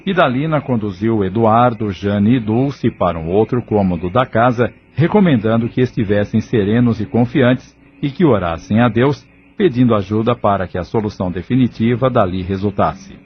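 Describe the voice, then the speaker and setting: A man's voice: fairly low pitch, normal speed, hardly any pauses, a little hiss or hum. A man reading scripted narration for an audio drama. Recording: dull lo-fi audio. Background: background music.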